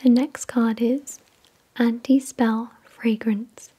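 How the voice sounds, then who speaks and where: A woman whispering softly and breathily in ASMR style, reading aloud in a deliberate, measured way. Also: fairly high pitch, little pitch movement, slightly rough. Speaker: ASMR video creator and host. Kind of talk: soft-spoken ASMR, reading card text aloud.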